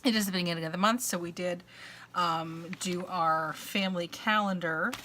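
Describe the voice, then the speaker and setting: A woman's voice: lowish voice, steady tone, normal speed, sharp tone, slight background noise. A middle-aged woman vlogging from home in a long, rambling casual chat, congested and fighting a dry cough, with many uhs and restarts. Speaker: crafter and vlogger. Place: at home, indoors.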